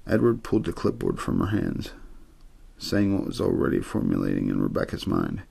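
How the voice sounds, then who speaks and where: A man reads a horror novel as a bedtime ASMR story. His voice is very low and heavily creaky, with a husky rasp underneath. The delivery is understated and untheatrical, though his pitch moves around noticeably as phrases fall away. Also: huge pitch swings, normal speed, warm tone. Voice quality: gravelly, husky. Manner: low-key, untheatrical narration. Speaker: audiobook-style story reader.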